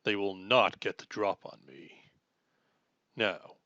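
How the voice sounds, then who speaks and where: A man's voice: on the low side, slow, bright, forceful tone, no room sound, muffled recording, full character voice. A man playing an earnest, chivalrous armored superhero swordsman in an audio roleplay, speaking in formal, archaic, self-assured phrases. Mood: confident, reassuring. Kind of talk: scripted audio roleplay acting.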